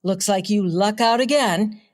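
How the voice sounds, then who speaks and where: A woman playing a sardonic, unflappable high-society newspaper reporter in an old-time detective radio play. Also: measured pace, a little mellow, natural voice. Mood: matter-of-fact, wry. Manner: dry, sardonic.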